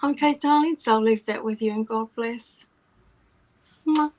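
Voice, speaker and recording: A soft-voiced woman gives a psychic reading, calling the listener darling and gorgeous. Her pitch sits fairly high, and she now and then drops to a whisper. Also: a little slow. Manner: warm, affectionate, drops to whispers. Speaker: psychic reader.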